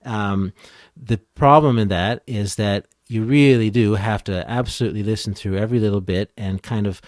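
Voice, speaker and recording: A man's voice: on the low side, darkish tone, dry recording, clean studio sound. A man speaking as an expert guest in a casual interview, explaining things step by step in a conversational way. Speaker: podcast editing expert guest.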